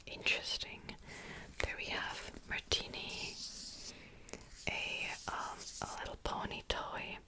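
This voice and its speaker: A woman whispers in a soft, fully unvoiced hush. She takes her time, drifting between thoughts with little ums and pauses in a relaxed ASMR ramble. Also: low-quality recording. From Czech Republic. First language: Czech. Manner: slow, relaxed rambling with fillers. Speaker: ASMR creator. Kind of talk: whispered ASMR book haul.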